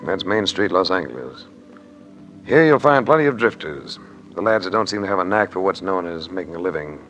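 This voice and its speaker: A man's voice: low-pitched, expressive, fairly quick, a bit bright, faint music behind. A man playing a cool, tough insurance investigator in a 1950s radio detective drama, dry, terse and hard-boiled. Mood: wry, narrating. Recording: old radio recording with clear noise.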